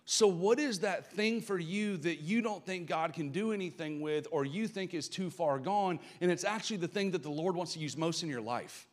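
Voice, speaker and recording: A man's voice: high-pitched, normal speed, few pauses. Southern man preaching with rising fervor. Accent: Southern American. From Southern United States. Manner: earnest, building to loud passionate emphasis. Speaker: pastor. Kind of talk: live church sermon.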